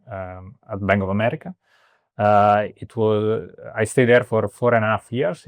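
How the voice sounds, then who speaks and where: Hesitant Italian man, flat low voice. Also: no echo. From Italy. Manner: hesitant, many fillers and restarts. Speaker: guest, startup co-founder. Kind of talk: interview answers.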